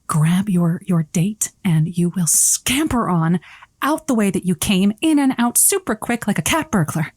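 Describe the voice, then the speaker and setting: A woman's voice: medium pitch, lively intonation, a little slow, darkish tone, slightly rough, no room sound, studio quality. Flustered, well-meaning woman advice host played by an actress. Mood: coaxing. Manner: hesitant, diplomatic, stammering. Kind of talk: improvised comedy call-in show acting.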